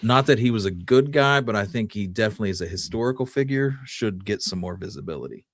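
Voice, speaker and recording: A man with a fairly low voice, recorded close and dry, co-hosts a history show. He tells stories informally and conversationally, as if chatting with a friend. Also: lively intonation, fast, no room sound. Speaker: podcast co-host. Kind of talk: casual conversational history storytelling.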